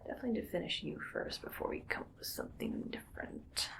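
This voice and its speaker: A woman playing a bossy, musing female mad scientist in a whispered ASMR roleplay, speaking in a breathy, clinical, quietly menacing way. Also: lightly acted. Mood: pondering, detached. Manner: slow musing, clinical, domineering. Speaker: ASMR performer.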